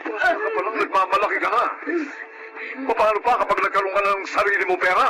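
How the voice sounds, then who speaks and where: A man's voice: sits high, fairly even intonation, fast, close to an everyday voice, low-quality recording. A man playing a stern, indignant Filipino uncle in a melodrama, lecturing with heated reproach. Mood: reproachful. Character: stern, indignant uncle defending his mother. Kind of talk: melodramatic drama acting. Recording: loud music under dialogue.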